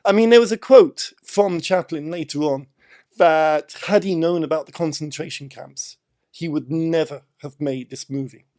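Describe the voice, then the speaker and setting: A British man with a fairly high voice discusses film casually. He explains his points step by step, leaning on repeated words for emphasis. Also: moderate pace, darkish tone. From Britain.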